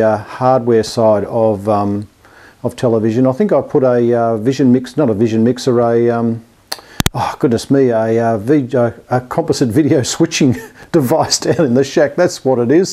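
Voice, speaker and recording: A middle-aged Australian man speaks with a dark, relaxed tone at an ordinary male pitch. He talks casually and without a script, like a hobbyist giving an update, often hesitating and rambling in a modest, self-deprecating manner. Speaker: amateur radio and television hobbyist presenting an update. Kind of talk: casual, unscripted video update to camera.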